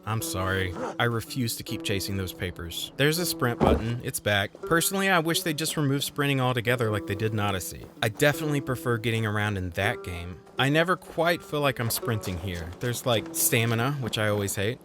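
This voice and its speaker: A man's voice: mid-range voice, animated, fairly quick, few pauses. Man narrating a game review over music. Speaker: video game reviewer and host. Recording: music behind the voice.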